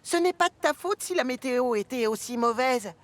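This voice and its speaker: A woman voicing a kind, reassuring male steam engine in a French cartoon, gentle and encouraging. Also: high-pitched, lively intonation, brisk, no echo. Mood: gently reassuring.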